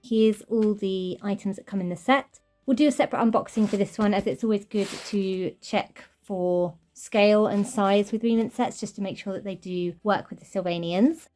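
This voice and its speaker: A woman's voice: medium pitch, steady tone, moderate pace, few pauses, dark tone, faint music behind. A woman hosting her toy collection channel, chatting enthusiastically through a casual show-and-tell, her voice still slightly off from a recent cold. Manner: chatty, enthusiastic, gushing over tiny details. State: slightly off voice while recovering from a cold.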